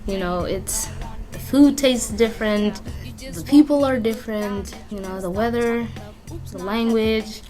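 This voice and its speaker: A woman's voice: a little high, unhurried, warm tone. A woman from Kenya speaking candidly in an interview, often using fillers like "you know" and "like.". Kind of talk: personal interview narrative. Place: community youth center. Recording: music bed under interview. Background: music playing underneath.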